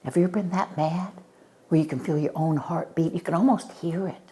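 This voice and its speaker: An older woman reading a storybook aloud to children, narrating expressively and dramatically, with hushed words and direct questions. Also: lowish voice, fairly even intonation, brisk.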